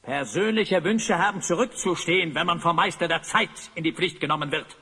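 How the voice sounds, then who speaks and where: An actor playing a tyrannical, gloating evil sorcerer in an audio drama, pompous and commanding. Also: male voice, a little high, a little fast, bright, forceful tone, slightly rough, full character voice. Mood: menacing, haughty. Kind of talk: German audio drama acting. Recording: long cavernous reverb.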